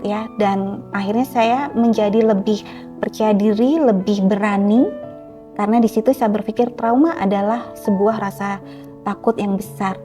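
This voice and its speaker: A middle-aged woman speaking earnestly and deliberately as an interview guest, explaining her personal experience. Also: mid-range voice, steady tone, dark tone, soft background music. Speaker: author.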